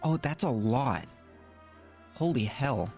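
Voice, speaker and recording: Guy streaming a game, talks slow n mutters to himself in little bits, music playing behind him. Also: medium pitch, expressive, darkish tone. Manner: muttering to himself in short fragments. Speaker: gaming streamer. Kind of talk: casual gameplay commentary, thinking aloud. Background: background music.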